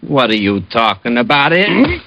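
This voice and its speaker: An actor playing a surly, slow-witted gangster's henchman in an old radio crime drama. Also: medium pitch, wildly swooping pitch, pushed and bright, no echo, full character voice. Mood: defensive. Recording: noisy, muffled vintage radio recording.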